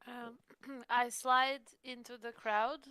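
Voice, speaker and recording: A woman's voice: a little high, little pitch movement, slow pace, pushed and bright, clean tone, no room sound. A woman chatting casually as a player in a tabletop roleplaying game. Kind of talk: casual in-character and table chat.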